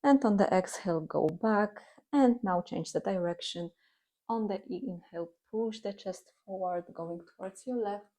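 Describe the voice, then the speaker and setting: A woman teaching guided meditation and gentle yoga in a calm, soothing, instructional manner. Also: medium pitch, moderate pace.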